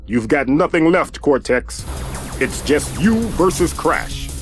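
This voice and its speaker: A man voicing an excitable, mocking mask spirit, a hero's sidekick in a cartoon video game, in a hammy, exclamatory way. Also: on the high side, takes it slowly. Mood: confident. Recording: music under the voice.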